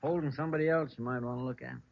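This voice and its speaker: A brisk police officer acted by a man speaks informally at a medium pitch. The vintage radio drama sound is muffled. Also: steady tone, vocal fry, natural voice. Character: brisk, helpful male station police officer. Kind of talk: old-time radio drama acting.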